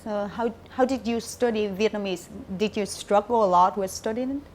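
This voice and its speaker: A woman interviewing a guest with friendly, casual questions, quick to laugh. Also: medium pitch, a little mellow, slight background noise.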